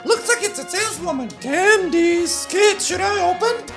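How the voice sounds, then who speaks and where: A woman voicing a sweet, squeaky puppet princess in a soap opera parody, with music over the voice. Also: expressive, normal speed.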